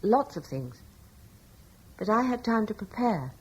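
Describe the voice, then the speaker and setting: An actress playing a devout, gentle young wife in a radio drama, speaking in an earnest, tender and slightly hesitant way. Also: female voice, medium pitch, big pitch swings, measured pace, breathy edge, dull audio, heavily acted. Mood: gentle reassurance. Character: devout, gentle young wife in ancient times, calmly reassuring.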